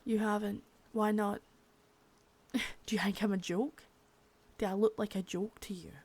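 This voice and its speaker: A woman voicing a sadistic, menacing female boss in an ASMR roleplay, whispering softly with spoken threats between. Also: mid-range voice, steady tone, unhurried, close to an everyday voice. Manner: taunting and threatening.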